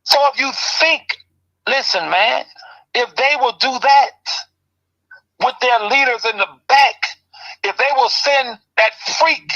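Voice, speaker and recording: A man hosting a heated call-in talk show, ranting with passion and swinging between loud outbursts and low, pressing remarks. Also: slow pace, pauses often, muffled recording. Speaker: commentary show host.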